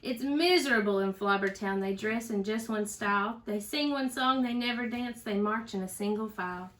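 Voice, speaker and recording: A woman who teaches first grade, reading aloud to young children in an expressive, animated storybook manner. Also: mid-range voice, moderate pace, recorded from a distance, rough recording.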